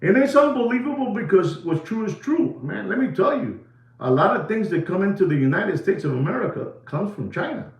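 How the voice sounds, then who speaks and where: A man's voice: high voice, lively intonation, a little fast, roomy sound, low-quality recording. A man from New York with a Puerto Rican accent, chatting on a livestream, rambling with lots of fillers and bits of Spanish. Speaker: livestream host. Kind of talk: casual livestream chat.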